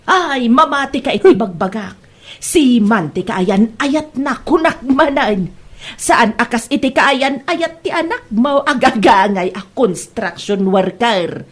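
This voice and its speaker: A high, husky-voiced Ilocano mother played by a woman, middle-aged and full of brags. She gossips emphatically, stretching her words for comic radio drama. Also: lively intonation, slow. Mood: boastful. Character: boastful gossiping middle-aged mother, proud of daughter's suitor. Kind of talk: Ilocano comedy radio-style drama acting.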